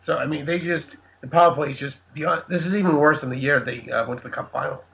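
Hoarse, gravelly male co-host with a bright edge, sarcastic ranting sports banter. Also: fairly high pitch, fast, low-quality recording. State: losing his voice. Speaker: podcast co-host. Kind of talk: casual sports chat.

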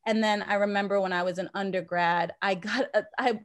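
A woman's voice: medium pitch, fairly even intonation, brisk. American woman telling personal stories conversationally. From born in Jamaica, grew up in Boston, USA. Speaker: podcast guest, anthropologist and professor. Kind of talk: conversational interview, personal storytelling.

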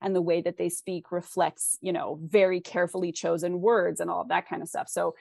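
Lower-pitched woman explaining in an interview. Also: little pitch movement, brisk, gravelly, a little mellow, dry recording. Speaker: interview guest, fiction author. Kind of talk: conversational interview, explaining writing craft.

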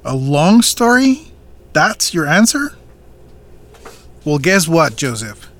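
A stern co-owner of a family farm in an audio drama. His voice sits a little high for a man, and he speaks firmly and deliberately, pressing his questions. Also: big pitch swings. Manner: firm, measured, confronting.

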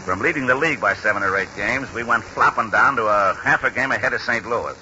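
A man playing a folksy American grandfather and former baseball trainer, animated and ungrammatical, in a 1940s radio drama. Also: lowish voice, fast. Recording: muffled, hissy 1940s radio recording.

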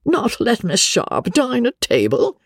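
Shrill, commanding old heiress played by an audiobook reader, teasing, swoops wildly in pitch, slightly husky. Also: huge pitch swings, slow pace, no room sound, studio quality, heavily acted. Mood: imperious. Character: elderly wealthy spinster aunt, imperious and witty.

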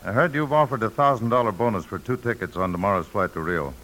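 Very deep male voice, bright forceful tone, acted insinuating travel agent, businesslike, bribe-seeking, 1950s radio drama. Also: fast, close to an everyday voice, rough recording. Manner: insinuating, businesslike, hesitant lead-ins.

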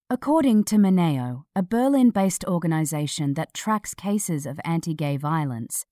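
A woman narrating a scripted news article in a measured, serious manner. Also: lowish voice, dark tone, no echo, clean studio sound.

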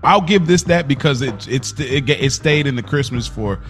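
A man co-hosting a podcast in casual, slangy, informal talk, telling stories in an animated way. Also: medium pitch, normal speed, soft background music. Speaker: podcast co-host. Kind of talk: casual chat.

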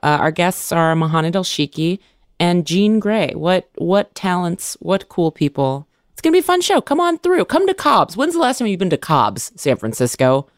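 A woman co-hosts a comedy podcast, playful and emphatic. Her pitch swings widely as she talks. The sound is close and dry. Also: moderate pace, no room sound. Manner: animated, playful emphatic exclamations. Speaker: podcast co-host. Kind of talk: casual comedic chat.

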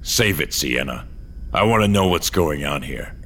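A man acting a gruff, world-weary cyborg courier in a sci-fi audio drama, speaking in a terse, hard-boiled, deadpan way. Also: very deep voice, normal speed, a little hiss or hum, full character voice. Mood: irritated, suspicious.

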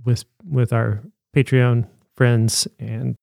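A man co-hosting a chatty podcast, making an upbeat pitch to listeners at a middling pitch. His voice is dry and close, with no room sound around it. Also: level tone, slow pace, vocal fry, a little mellow, no room sound. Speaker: podcast co-host. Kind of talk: casual podcast chat.

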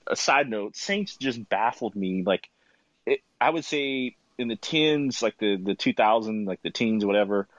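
Male podcast panelist, lowish voice, casual sports fan talk, close dry recording that is a little dull. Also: animated, measured pace, no room sound.

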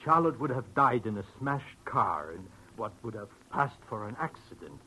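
A man's voice: medium pitch, big pitch swings, moderate pace, sharp tone. A man playing a thoughtful, philosophical sanitarium doctor with formal, polite manners in 1940s radio acting. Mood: curious, earnest. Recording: noisy old radio drama recording.

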